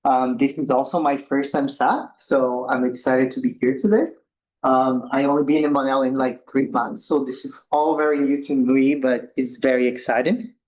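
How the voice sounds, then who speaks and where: A young man from Brazil with a Brazilian Portuguese accent, giving a relaxed science talk as a postdoctoral researcher, with ums and slightly loose non-native phrasing. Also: mid-range voice, moderate pace, low-quality recording. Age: young adult. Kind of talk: informal science presentation.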